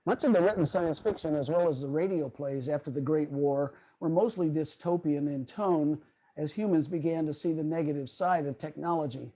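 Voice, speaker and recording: A man presenting a prepared history lecture to a club over a video call, explaining in a formal, measured way. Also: fairly high pitch, warm tone, rough recording.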